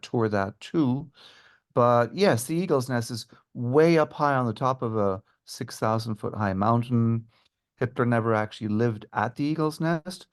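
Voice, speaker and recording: A man speaking as a tour guide and historian, giving informative, measured answers in an interview. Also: medium pitch, clear, resonant tone.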